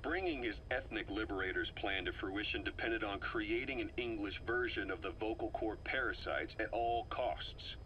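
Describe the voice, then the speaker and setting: An actor playing a shrewd, intense middle-aged intelligence officer in a video game, delivering an analytical, emphatic, deliberate briefing monologue. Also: male voice, lowish voice, highs cut off, lightly acted. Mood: grave, analytical. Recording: faint noise, cassette-style recording.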